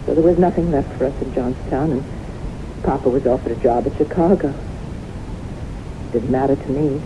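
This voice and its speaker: An actress playing a haunted woman who recounts disasters in dramatic, deliberate radio drama style. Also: rumbling low. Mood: haunted, sorrowful. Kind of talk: 1970s radio drama acting. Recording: muffled noisy old radio recording.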